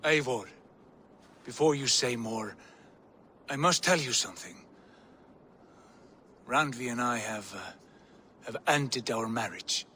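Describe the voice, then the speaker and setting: A calm, reasonable Viking clansman of rank in game dialogue. The voice is average in pitch and earnest in delivery. A slight noise runs behind it. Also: takes it slowly, pauses often. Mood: candid, subdued. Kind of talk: video game dialogue acting.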